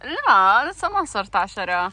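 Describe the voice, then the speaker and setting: A woman's voice: mid-range voice, big pitch swings, moderate pace. An older woman, a grandmother, chatting casually with her grandchildren for a family video, teasing and coaxing them along. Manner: warm teasing, coaxing children, often laughing. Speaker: grandmother joining a family vlog.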